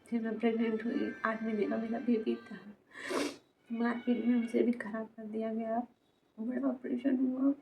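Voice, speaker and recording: A woman in her twenties speaks confessionally to camera in a fairly high voice. Her delivery is halting, circling back over words and leaving sentences unfinished. The sound is thin and phone-like, with some ambient noise behind her. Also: little pitch movement, creaky. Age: 20s. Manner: halting, repetitive, trailing off mid-sentence. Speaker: vlogger. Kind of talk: personal confessional talk to camera. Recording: tinny phone-like sound, noisy background.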